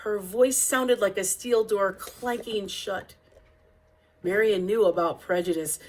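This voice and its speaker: A woman reading a picture book aloud as narrator, with deliberate, expressive phrasing. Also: medium pitch. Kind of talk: read-aloud storybook narration.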